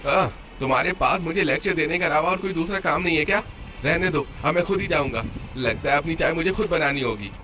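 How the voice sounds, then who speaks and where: A man's voice: fairly high pitch, fast talker, pushed and bright. A man voicing an arrogant, short-tempered, sarcastic son-in-law who whines and complains in a cartoon story. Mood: angry. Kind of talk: Hindi cartoon story dialogue. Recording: clear background noise under voice.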